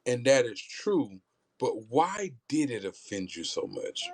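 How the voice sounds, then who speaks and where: A man's voice: medium pitch, very animated, takes it slowly, creaky, a little mellow. A man in his thirties speaking emphatically in a heated casual discussion, repeating words as he starts his points. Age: 30s. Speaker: discussion panelist.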